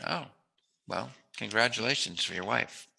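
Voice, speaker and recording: A man's voice: fairly low pitch, normal speed, vocal fry, pushed and bright, breathy edge. A man hosting an interview in a casual, joking way, with a playful, rambling, musing manner. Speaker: interview host.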